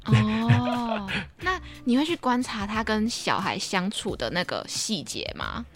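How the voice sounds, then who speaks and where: A woman's voice: mid-range voice, sing-song, slow pace. A young woman with a Taiwanese Mandarin accent chats casually, reacting expressively with a teasing streak. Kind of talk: casual podcast chat. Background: background music.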